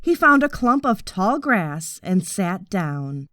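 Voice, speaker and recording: A woman narrates a children's audiobook at an unhurried pace. Her expressive delivery swings widely in pitch, and the recording is close and dry. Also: no room sound. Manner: expressive, deliberate storytelling. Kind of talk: scripted children's story narration.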